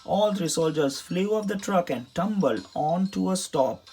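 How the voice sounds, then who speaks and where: A man's voice: high-pitched, expressive, a little slow, a little mellow, a little hiss or hum. A man narrating a children's storybook as a read-aloud. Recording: music behind the voice.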